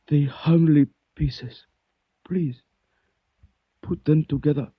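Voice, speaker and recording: A solemn, courteous Chinese philosopher from a 1940s radio play. He speaks very slowly and formally in a fairly high, husky voice, with halting phrases. The recording is dry and dulled with age. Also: steady tone, no room sound. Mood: weak, insistent. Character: dignified, dying Chinese man, courteous and philosophical. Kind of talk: 1940s radio drama acting. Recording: muffled old radio recording.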